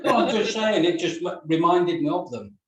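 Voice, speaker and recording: A man's voice: high voice, expressive, moderate pace, crowd noise. A middle-aged or older man giving casual feedback as a reader in a group discussion, heard with room echo and some distance from the mic.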